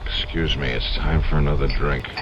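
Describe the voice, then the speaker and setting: A man's voice: a bass voice, fairly even intonation, moderate pace, dull audio, noisy background. An American man acting in an old-time radio drama as a bitter, conscience-stricken attorney who drinks hard. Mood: sardonic. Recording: vintage radio broadcast, loud music behind.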